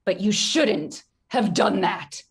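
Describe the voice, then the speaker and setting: A woman voicing an eerie, wounded video game character, breathy and sweetly reproachful with an edge of menace. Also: medium pitch, slow. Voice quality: very breathy, husky.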